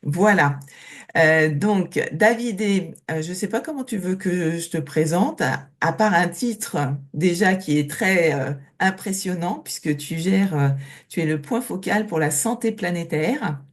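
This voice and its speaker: A woman moderating an online session on a video call, speaking hesitantly with frequent pauses. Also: fairly low pitch. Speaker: webinar moderator.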